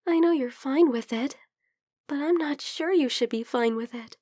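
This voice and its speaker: Woman acting soft, high-voiced, hesitant young vampire girl. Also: normal speed, dry recording, clean studio sound, natural voice. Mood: dazed, blissful. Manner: hesitant, soft, breathy murmuring that slips into whispers. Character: shy, lovestruck young female vampire, caring and hungry. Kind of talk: intimate ASMR roleplay.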